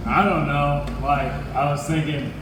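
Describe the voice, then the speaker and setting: A man's voice: on the high side, fairly even intonation, unhurried, natural voice. A man playing a naive young man, echoey hall. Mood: unsure. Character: aimless, naive young man dreaming of heroism. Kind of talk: comic readers theatre performance. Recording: echoey hall from far off, noisy.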